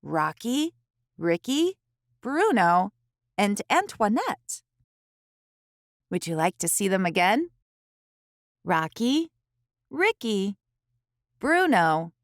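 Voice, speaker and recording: A woman host reading a picture book aloud to young children, expressively, with careful phrasing and dramatic pauses. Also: medium pitch, dry recording, studio quality.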